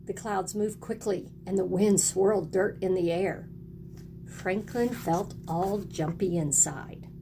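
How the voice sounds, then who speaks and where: A woman with a mid-pitched voice teaches a lesson to young children. She is encouraging, often asking questions and prompting them to repeat. Noticeable noise sits behind her voice. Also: fairly even intonation, slow.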